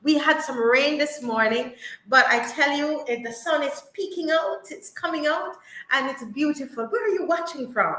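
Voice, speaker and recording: A woman hosts a live online talk show with a bright, high voice. She speaks earnestly and with animation, calling out to her viewers. Her voice rings in an echoey room. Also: normal speed.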